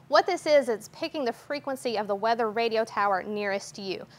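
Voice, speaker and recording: A young woman giving an orderly, step-by-step instructional demonstration in a clear explaining manner. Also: on the high side, fairly quick. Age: young adult. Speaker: guest demonstrator. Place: TV station weather office, indoors. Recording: noticeable background noise.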